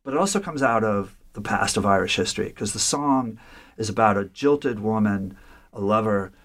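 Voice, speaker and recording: Man explaining literature, lower voice. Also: moderate pace, a bit bright. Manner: thoughtful, with frequent restarts and rhetorical "right?". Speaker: literature scholar discussing a story. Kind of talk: conversational lecture-style discussion.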